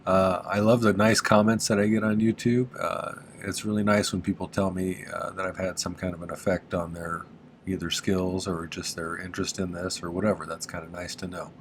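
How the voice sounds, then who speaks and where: A man with a slightly nasal, congested voice giving a relaxed, chatty how-to lesson on a craft in his workshop, with plenty of "uh" fillers. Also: deep voice, fairly quick, slight background noise. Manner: relaxed and chatty, with frequent "uh" fillers and small laughs. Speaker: silversmith teaching on his channel. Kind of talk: casual how-to narration.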